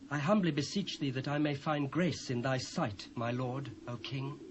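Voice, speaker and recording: A man's voice: mid-range voice, fairly even intonation, moderate pace, noisy background, close to an everyday voice. A British man playing a humble, fawning royal servant in a dramatised scripture, deferential and declamatory in archaic English. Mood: humble, grateful. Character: humble, fawning male servant addressing his king.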